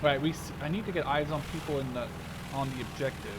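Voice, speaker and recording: Higher-pitched young man commentating over game noise. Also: fast. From Canada. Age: 20s. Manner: self-deprecating, joking, slangy. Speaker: gaming video host. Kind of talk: casual live gameplay commentary. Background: game vehicle sounds.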